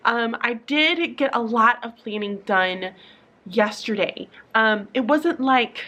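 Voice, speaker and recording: A young woman teacher talks to camera from her classroom early in the morning, her voice a little high and still sleepy. She rambles casually, with plenty of fillers, false starts and restarted sentences. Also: slow pace, sharp tone. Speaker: teacher vlogger.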